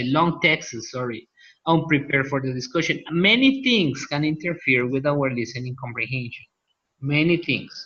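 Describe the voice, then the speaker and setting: A man from Colombia gives a class lecture in English with a clear Colombian accent. He talks at a middle pitch, pausing on fillers and restarting phrases. The sound is somewhat dull and lo-fi. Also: fairly bright tone. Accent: Colombian Spanish. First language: Spanish. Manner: hesitant, many restarts and fillers. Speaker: teacher.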